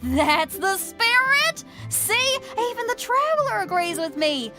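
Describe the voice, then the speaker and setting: An actress playing a bold, upbeat young female rock musician in a game, talking in an energetic, breezy and persuasive way. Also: extremely high pitch, measured pace, heavily acted. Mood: encouraging. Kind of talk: game dialogue. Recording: game audio with faint music behind.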